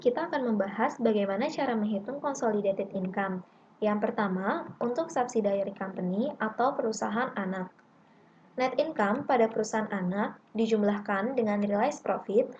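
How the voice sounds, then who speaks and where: A young woman delivers scripted tutorial narration at a medium pitch. She is methodical and instructional. The recording is dull and lo-fi, with noticeable background noise. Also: darkish tone. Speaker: accounting lab tutor.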